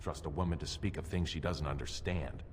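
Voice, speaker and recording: An actor playing a haughty, scornful dwarf husband in a fantasy game, stern and dismissive. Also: male voice, extremely low pitch, fairly even intonation, a little fast, a little mellow, a little hiss or hum. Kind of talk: fantasy video game voice acting. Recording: echoey hall with game music.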